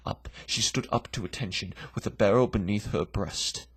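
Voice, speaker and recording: Low, breathy man reciting dramatically. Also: moderate pace, no echo. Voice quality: breathy, gravelly. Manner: theatrical, swinging between hushed whispers and forceful declamation. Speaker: poetry reader.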